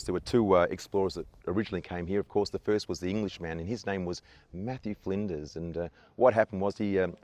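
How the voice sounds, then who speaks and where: An Australian tour guide speaks at a brisk clip in a deep, low voice. He talks with earnest enthusiasm and comes back to the same praise again and again. The recording is dry, with no room echo. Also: a little mellow. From Adelaide, South Australia. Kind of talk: casual interview answers. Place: outdoors on an island.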